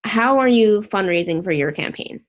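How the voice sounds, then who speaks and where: A woman's voice: mid-range voice, animated, moderate pace, lo-fi sound. A woman hosting a casual online interview, chatty and opinionated, rambling with restarts.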